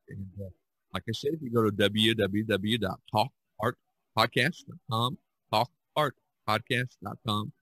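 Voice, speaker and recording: Low-voiced man hosting a podcast. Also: pushed and bright, breathy edge, no echo, poor audio. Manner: halting, rambling, repeats you know. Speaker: podcast host. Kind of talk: casual discussion.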